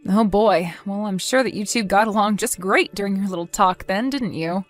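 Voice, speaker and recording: A woman's voice: mid-range voice, moderate pace, faint music behind, natural voice. A woman playing a caring, cautious and practical wife, fussing conversationally in an audio drama. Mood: surprised, wary.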